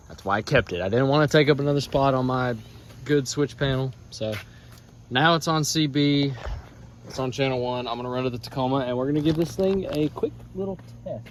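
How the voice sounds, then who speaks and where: Casual American man, folksy, faint background noise. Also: medium pitch. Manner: folksy, informal, thinking aloud. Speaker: DIY truck vlog host. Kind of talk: casual hands-on tutorial chat.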